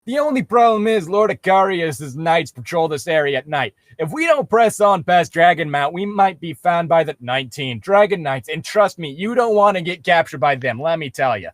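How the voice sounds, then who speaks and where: Man acting a grim, emphatic fantasy guide, raised pitch. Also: lively intonation, normal speed, few pauses, no room sound, studio quality, lightly acted. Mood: shaky warning. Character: shaken, grim male fantasy guide warning of danger.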